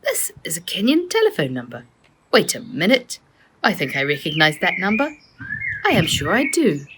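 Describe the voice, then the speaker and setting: A puzzled, thoughtful father from a children's storybook, read by a woman. He muses emphatically, his pitch jumping about. Faint noise sits in the recording. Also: wildly swooping pitch, normal speed.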